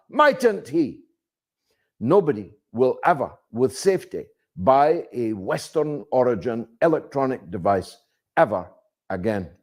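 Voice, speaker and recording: A man delivering political commentary as a monologue, phrasing deliberately and emphatically, with rhetorical questions and repetition. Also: on the high side. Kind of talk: spoken political commentary to an audience.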